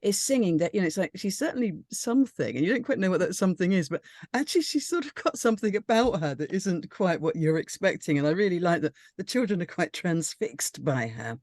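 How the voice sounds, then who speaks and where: A woman's voice: fairly low pitch, animated, brisk, hardly any pauses, a little mellow, no echo, studio quality. A woman chatting in a rambling, tentative but enthusiastic way about a script in a group discussion. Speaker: script reading panellist.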